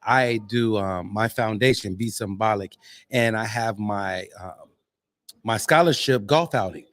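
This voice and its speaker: A man's voice: on the low side, measured pace. An American man chatting casually on a live show as a host, earnest and encouraging in how he talks. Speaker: podcast host and actor.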